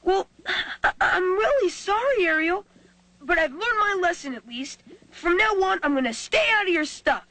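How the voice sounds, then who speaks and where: Woman as sheepish kid fish for a puppet show; tiny squeaky voice, sharp and sincere. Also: lively intonation, normal speed, exaggerated voice, poor audio. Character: apologetic young boy fish puppet, earnest and timid.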